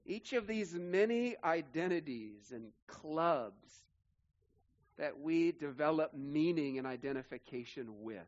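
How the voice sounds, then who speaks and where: A man in his forties preaches as a pastor, earnest and emphatic, stacking up phrase after phrase. His voice sits a little high for a man. The recording sounds slightly muffled and lo-fi. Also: animated, a little slow. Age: 40s. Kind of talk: sermon.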